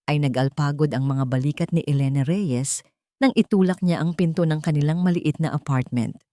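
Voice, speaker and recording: A woman narrates a scripted romance drama. Her voice sits low for a woman, and the sound is dry, with very little room in it. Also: normal speed, dark tone, dry recording. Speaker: storyteller narrator.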